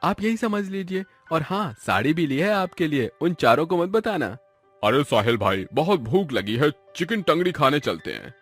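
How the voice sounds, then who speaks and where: A man acts a sly, pushy young son-in-law from a cartoon family story. He wheedles in a fairly high, lively, swooping voice, with music underneath. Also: normal speed. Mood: smug. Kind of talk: animated storybook dialogue.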